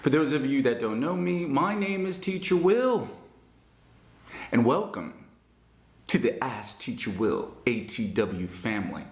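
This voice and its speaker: Man, Northern New Jersey accent, English teacher, emphatic and encouraging, middle pitch, echoey room. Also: sing-song. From United States. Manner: slow, emphatic, encouraging delivery. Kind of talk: online English lesson.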